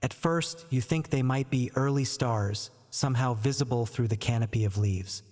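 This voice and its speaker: A man narrating a scripted bedtime story and guided sleep meditation in a calm, gentle, unhurried, soothing manner. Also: medium pitch. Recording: long reverb on the voice.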